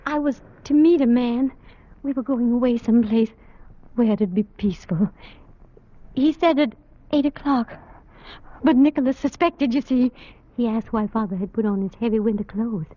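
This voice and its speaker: A woman's voice: a little high, normal speed, long pauses, warm tone, no room sound, close to an everyday voice. An actress playing a fragile young woman in shock, rambling in a distracted, childlike way in an old radio drama. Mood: dazed, wandering. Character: fragile, dazed young woman in shock over her father. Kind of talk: 1940s-50s radio drama acting. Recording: dull old radio broadcast recording.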